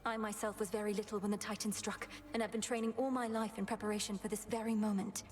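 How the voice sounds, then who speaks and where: A woman's voice: mid-range voice, level tone, fast talker, natural voice. A woman voicing an earnest, determined young witch princess in a video game, speaking in a solemn, formal manner. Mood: grave, determined.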